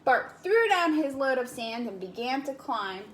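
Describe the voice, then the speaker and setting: A woman reading a picture book aloud to a child in slow, expressive narration. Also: high-pitched, slight background noise. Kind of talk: storybook read-aloud.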